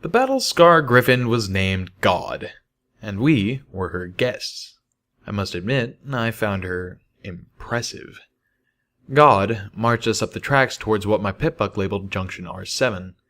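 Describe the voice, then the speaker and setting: A man's voice: on the low side, animated, unhurried, a bit bright. A man reading a first-person fantasy story aloud as scripted narration. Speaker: audiobook reader of fan fiction.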